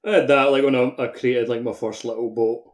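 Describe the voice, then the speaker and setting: A man's voice: medium pitch, steady tone, a little fast. A man co-hosting a casual gaming podcast, talking enthusiastically in choppy phrases full of filler words. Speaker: podcast co-host.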